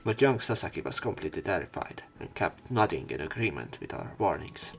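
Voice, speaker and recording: A man reading visual novel narration and dialogue aloud as a let's player, casual and a little halting. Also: low voice, very animated, gravelly, over loud music.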